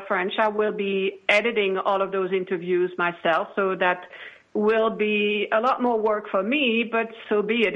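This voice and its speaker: A woman speaking as an interview guest in a conversational, assertive and emphatic way. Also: medium pitch. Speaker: podcast producer, interview guest. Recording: dull, lo-fi radio-like audio.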